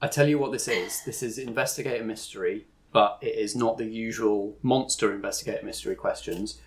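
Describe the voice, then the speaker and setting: A man's voice: lowish voice, brisk. A man acting as game master, narrating and explaining a tabletop game as he improvises it, thinking aloud.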